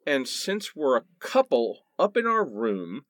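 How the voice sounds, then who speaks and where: A man's voice: mid-range voice, huge pitch swings, measured pace, a bit bright, dry recording. A man playing a sarcastic, exasperated teenage boy in a comic radio play. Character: sarcastic teenage boy in nineties sitcom parody.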